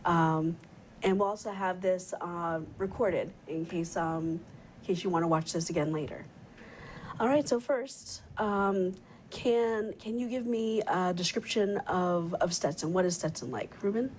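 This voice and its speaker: A woman's voice: on the low side, unhurried, darkish tone, a little hiss or hum, poor audio. A woman in her thirties hosting a live studio webcast panel, chatty with frequent fillers and restarts, on a lapel mic. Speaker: host, program coordinator. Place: TV studio set.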